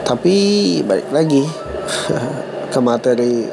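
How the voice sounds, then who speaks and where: A man's voice: medium pitch, slow pace, gravelly, music in the background, low-quality recording. Stuffy-nosed young man joking on podcast. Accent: Jakarta slang. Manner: joking, self-mocking, laughs at own jokes. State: stuffy nose from a cold. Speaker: podcast host. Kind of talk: casual solo podcast chat.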